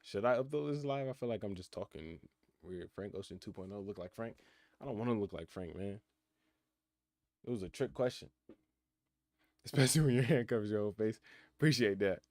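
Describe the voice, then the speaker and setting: Young man, nineteen, low voice, dry close sound; relaxed streamer musing on chat questions. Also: fairly quick, long pauses, no echo. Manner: laid-back, reflective, reads chat questions aloud and answers. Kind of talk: casual live-stream chat. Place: his room in college housing. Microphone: computer mic.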